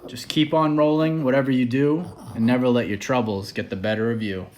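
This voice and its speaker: A man with a medium-pitched voice reading a children's picture book aloud. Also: steady tone, brisk, darkish tone, slight background noise. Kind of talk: read-aloud children's story.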